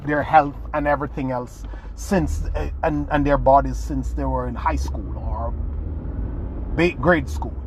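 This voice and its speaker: A man's voice: fairly high pitch, a little hiss or hum, rough recording. A man from Jamaica, giving an earnest motivational talk as a podcast host, restarting phrases and leaning hard on key words. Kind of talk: motivational monologue.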